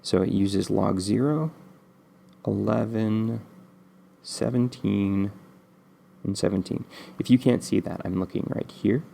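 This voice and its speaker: Man, very low gravelly voice, congested from a cold; easygoing, rambling tutorial host. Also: a little slow, pauses often. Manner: relaxed, rambling with many ums. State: stuffy from a cold. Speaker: game development tutorial host. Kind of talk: casual screen-recorded coding tutorial.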